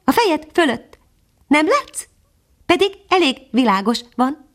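A woman voicing a modest little female nightingale in a storybook, speaking gently and patiently. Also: high voice, very animated, pitched above the performer's own voice. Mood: helpful. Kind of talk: storybook character voice.

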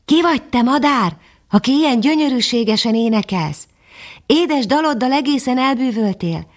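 Woman playing an eager young male dog, raised bright voice, wide-eyed, earnest, storybook. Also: high voice, expressive, pitched above the performer's own voice. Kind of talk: storybook character voice.

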